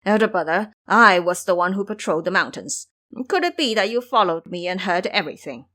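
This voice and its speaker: A woman's voice: on the low side, animated, a little fast, pushed and bright. A woman voicing a foolish, cowardly male pig disciple in an audio drama, blustering and whining through lies. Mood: indignant.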